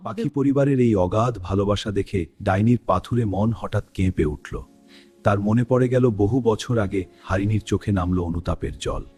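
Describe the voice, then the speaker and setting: A man's voice: on the low side, moderate pace, warm tone, breathy edge. A man narrating a cartoon fairy tale in a storytelling manner. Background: faint music.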